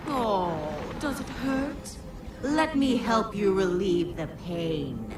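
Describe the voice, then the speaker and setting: A woman's voice: mid-range voice, big pitch swings, slow, far from the mic, music in the background. A woman playing a seductive, mocking illusionist villainess in a video game, with theatrical, toying delivery. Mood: mock sympathy. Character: taunting seductive illusion-casting concubine villainess. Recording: echoing voice, loud background noise.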